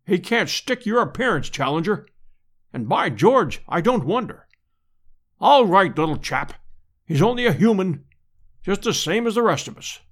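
A man voicing a bold, breezy gentleman adventurer in an audiobook, speaking in clipped upper-class slang. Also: high voice, very animated, moderate pace, heavily acted. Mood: soothing, teasing. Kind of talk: dramatised audiobook character reading.

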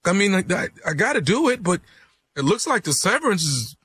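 A man's voice: high voice, sing-song, fairly quick, sharp tone, breathy edge, exaggerated voice. A man playing an apologetic office manager who has to break bad news, hesitant and stammering. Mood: flustered. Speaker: comedian doing prank phone calls.

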